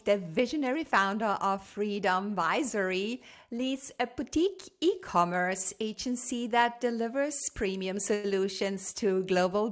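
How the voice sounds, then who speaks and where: A woman podcast host reading a scripted introduction and then asking interview questions in a formal, emphatic way. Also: medium pitch. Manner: formal, emphatic, list-like delivery. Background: loud music under the intro.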